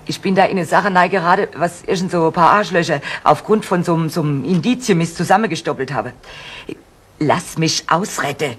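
Brash, fed-up streetwalker. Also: female voice, on the low side, a little fast, breathy edge. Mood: angry, frantic. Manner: blunt, brusque, slangy. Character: brash, foul-mouthed, fed-up female prostitute under suspicion. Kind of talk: 1970s German crime radio drama acting. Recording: noisy vintage radio drama recording.

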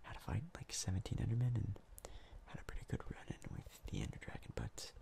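A young man whispers his way through casual gameplay commentary in an ASMR style. His voice is low and heavily crackled with fry, breathy and soft, and he rambles along hesitantly. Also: huge pitch swings, quick pace. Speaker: ASMR gaming YouTuber.

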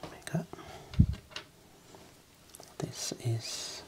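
A man whispering gently and breathily in an ASMR roleplay as an optician, reassuring as he works. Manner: gentle, unhurried, reassuring running commentary on hands-on work. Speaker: ASMR performer playing an optician.

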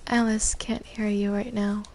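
A woman's voice: medium pitch, level tone, measured pace, a little mellow, lightly acted. A woman playing a controlling, teasing villainess in an improvised game roleplay, bossy and taunting. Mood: smug, menacing. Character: controlling, teasing female villain Susie, enjoying torment.

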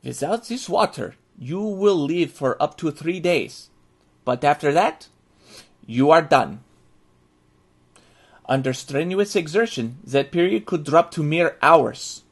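An earnest military man reads out a survival handbook, as a male actor plays him. His voice is husky and creaky, set a little high. He speaks formally and with measured care, instructing as in an audio drama. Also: sing-song, exaggerated voice. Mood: grave instruction. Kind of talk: scripted in-character audio drama narration.

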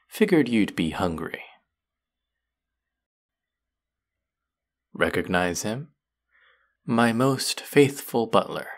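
A man's voice: low-pitched, exaggerated voice. A man voicing a smug, taunting young fantasy villain who menaces his captive in a theatrical roleplay audio monologue. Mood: teasing, controlling.

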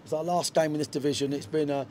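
A man's voice: on the high side, fairly even intonation, quick pace, mellow, a little hiss or hum. A middle-aged man, a football manager, talking frankly in a post-match interview in a stadium dugout, with lots of fillers and restarts. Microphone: handheld reporter mic.

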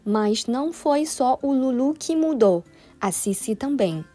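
A woman giving scripted narration for a news feature in a formal, even manner. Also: sits high, a little slow, faint music behind.

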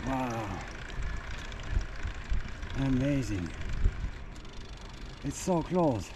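A man's voice: medium pitch, animated, drawn-out words, background noise. A man in his forties from France, speaking with a French accent, chatting hesitantly with many fillers while filming himself outdoors on a camera held at arm's length. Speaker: cycling travel vlogger. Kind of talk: casual self-filmed travel vlog. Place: outdoors on a roadside in dry bush.

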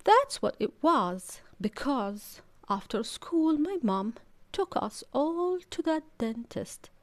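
A woman narrating a children's storybook aloud in an expressive, deliberate, emphatic storytelling manner. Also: fairly high pitch. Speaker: audiobook narrator.

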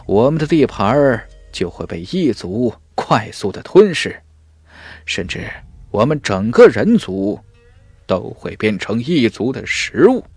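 A man's voice: mid-range voice, big pitch swings, a little slow, darkish tone, breathy edge, no echo, heavily acted. A man voicing a grave fantasy warrior who explains and laments the decline of humanity in an audiobook. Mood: grave warning.

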